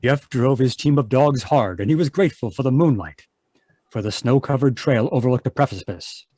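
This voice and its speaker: A man's voice: mid-range voice, normal speed, dark tone, slight background noise. A man narrating an old-time radio adventure as a dramatic storyteller.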